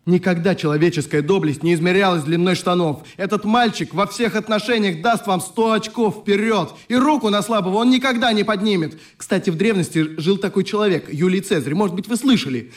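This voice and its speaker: An actor playing a passionate young leader of a children's club in a radio play, articulate and commanding. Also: male voice, sits high, a little fast, few pauses, close to an everyday voice. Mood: indignant, scornful.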